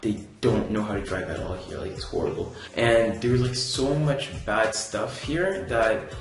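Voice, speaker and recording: A man's voice: fairly low pitch, moderate pace, vocal fry, soft background music. A young man from Canada telling a casual vlog story, rambling and laid-back with many fillers. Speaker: vlogger. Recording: very echoey room.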